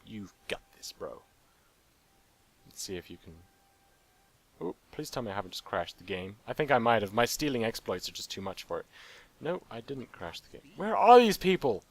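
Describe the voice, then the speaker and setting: A man's voice: fairly low pitch, sing-song, moderate pace. A man casually commenting on his own gameplay, joking and talking to the game's characters. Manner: playful, jokey, talks to game characters. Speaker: let's play gamer.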